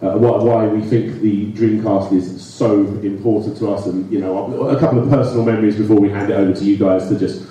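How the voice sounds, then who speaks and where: A man moderating a live panel, relaxed, with hesitant fillers and frequent laughs. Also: lowish voice, fast, few pauses. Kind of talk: casual live panel hosting. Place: live expo stage, large hall. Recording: echoey hall, distant mic.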